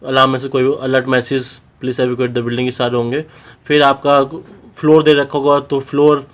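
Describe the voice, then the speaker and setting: A man's voice: medium pitch, flat delivery, moderate pace. A man with an Indian accent giving an informal technical tutorial, explaining step by step and often checking in with short tags. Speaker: technical instructor.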